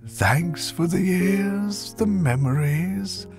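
A man narrating a literary passage in a solemn, measured, dramatic reading. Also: high voice. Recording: voice under clear instrumental music.

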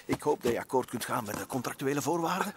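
A man voicing a grandiose, smooth-talking crooked South American president in a comic-book read-aloud, with music behind. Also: mid-range voice, lively intonation, quick pace. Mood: persuasive.

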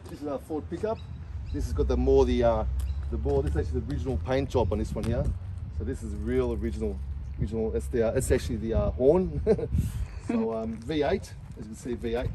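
A middle-aged man with an Australian accent, casually showing off hot rods in a car park while filming on a phone, chatty with lots of fillers. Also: mid-range voice. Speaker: car show presenter. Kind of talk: casual walkaround commentary. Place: outdoor car park beside a diner. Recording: outdoor phone recording with traffic noise.

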